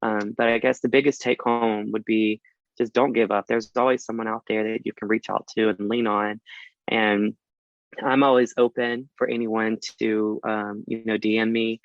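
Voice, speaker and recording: Guest read: man in his twenties, from Alabama, easygoing and halting, mid pitch, dry and slightly dull recording. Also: no room sound. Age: 20s. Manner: relaxed, halting, many fillers and restarts. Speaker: dental student guest. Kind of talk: casual interview chat.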